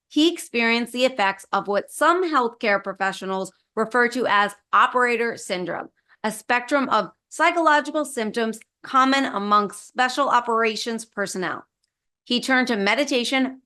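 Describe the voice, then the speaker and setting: A bright, crisp female voice hosting an interview podcast. She introduces her guest with enthusiasm, then puts her questions to him in an upbeat, engaged way. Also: a little high. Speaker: podcast host.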